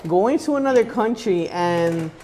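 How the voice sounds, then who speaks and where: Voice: medium pitch, expressive, unhurried, dark tone, slight background noise. A podcast host whose first language is Spanish, chatting upbeat and casually, full of likes and yeahs. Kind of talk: casual interview chat.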